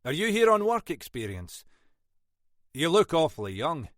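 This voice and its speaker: A man's voice: fairly high pitch, sing-song, normal speed, fairly bright tone, dry recording, clean studio sound, voice pushed up. A man voicing an older detective inspector with a wry, teasing way of talking.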